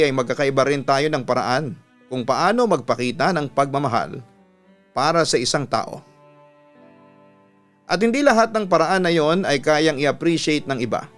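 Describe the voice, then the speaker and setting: A man with a Filipino accent, a radio storytelling host, reading a listener's letter as scripted narration. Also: a little high. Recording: music bed under the voice. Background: instrumental music.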